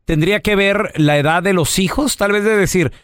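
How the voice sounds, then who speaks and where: A man's voice: high-pitched, moderate pace, a bit bright, clear, resonant tone, dry recording, studio quality. A man hosting a radio show, talking in a casual, slangy way. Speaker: radio host.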